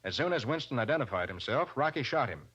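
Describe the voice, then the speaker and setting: A confident master detective, speaking quickly in a bright, forceful voice. He is commanding and brisk, in old radio drama style on a dull vintage recording. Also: medium pitch, big pitch swings, husky. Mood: explaining, assured. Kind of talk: 1940s radio crime drama acting.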